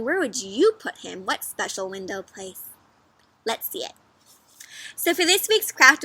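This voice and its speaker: A woman with a high, bright voice hosts a storybook read-aloud for young children. She speaks expressively, with animated emphasis and wondering questions. Her delivery is encouraging, like a teacher guiding small listeners through a book. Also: no room sound.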